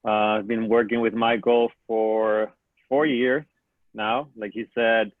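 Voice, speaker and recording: A man from Peru, a studio assistant, introducing himself on a call in a steady, matter-of-fact way. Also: on the low side, slow, dry recording. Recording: tinny phone-like line.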